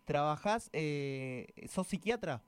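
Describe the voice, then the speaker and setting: A man's voice: a little high, expressive, slow, clean tone, no room sound. An Argentine man hosting a conversational sports interview from a radio studio.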